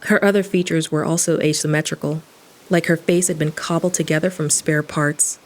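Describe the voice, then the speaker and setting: A woman's voice: deep voice, fairly even intonation, a little fast, close to an everyday voice. A woman playing a wry, irreverent adventurer, narrating her story in the first person in a casual, sardonic way for an audio drama. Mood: wry, descriptive. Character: wry, irreverent female adventurer and occult investigator.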